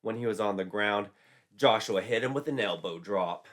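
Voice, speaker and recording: A man's voice: lowish voice, moderate pace, a bit bright. A man narrating a folklore tale as a storyteller, in a dramatic scripted style.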